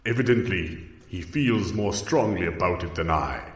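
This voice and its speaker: A man's voice: sits low, lively intonation, moderate pace. A man playing a proud, solemn rodent duelist with grave, formal mock-archaic speech in improvised audio comedy. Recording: echoey hall with long reverb.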